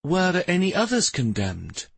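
A man voicing a gentle but firm princess in a fantasy audiobook, with a mild, measured manner. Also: sits high, huge pitch swings, gravelly, husky, no echo, voice pushed up, full character voice. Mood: grave, firm. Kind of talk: fantasy audiobook character dialogue.

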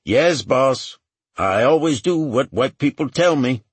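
A man's voice: medium pitch, big pitch swings, normal speed, breathy edge, no room sound, pitched above the performer's own voice. A man voicing a brash, sarcastic, streetwise woman agent who talks in taunting, profane slang, in an audiobook. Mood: mocking, defiant.

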